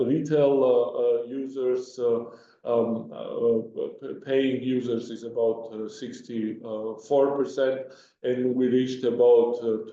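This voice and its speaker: A man presenting financial results on a conference call as a company executive, speaking haltingly with hesitations and repeated words. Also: mid-range voice, mellow. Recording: muffled, very echoey conference call audio.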